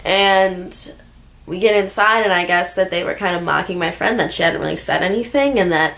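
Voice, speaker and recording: A woman's voice: lowish voice, moderate pace, creaky, a bit bright. Candid, sweary young woman vlogging. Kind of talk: casual rambling personal vlog, chatty storytelling.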